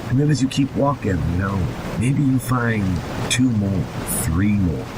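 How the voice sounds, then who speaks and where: A man's voice: expressive, measured pace. A man co-hosting a podcast in a foul-mouthed, sardonic way, moving between casual commentary and mocking readings of a horror story. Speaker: podcast co-host. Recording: rumbling noisy recording.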